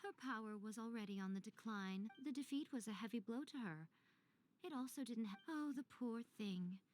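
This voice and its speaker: A woman's voice: fairly high pitch, moderate pace, darkish tone, natural voice. A woman voicing a sly, elegant shrine priestess in a video game, coy, playful and a little haughty. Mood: coy, teasing.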